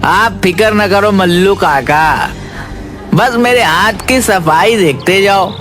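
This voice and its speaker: Man putting on a very high-pitched sly drunkard. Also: takes it slowly, music in the background. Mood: sly, eager. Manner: wheedling, boastful. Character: sly village drunkard, eager schemer. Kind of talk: Hindi moral-story cartoon acting.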